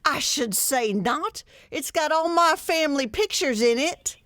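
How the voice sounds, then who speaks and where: A woman's voice: high-pitched, animated, a little slow, fairly bright tone, no echo. An American woman playing an exasperated, long-suffering wife in old-time radio comedy style, chiding her husband dryly. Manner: dry, chiding.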